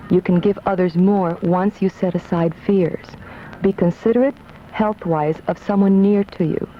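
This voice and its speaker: A woman reading from a script as an astrologer guest on a 1970s radio show. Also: mid-range voice. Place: radio studio. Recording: dull, slightly noisy 1970s AM radio.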